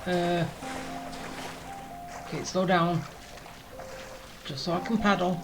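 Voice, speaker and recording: Gaming streamer, slow mid-pitched voice, casual muttered commentary in short bursts, clear game music behind. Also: steady tone. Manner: thinking aloud in short muttered phrases.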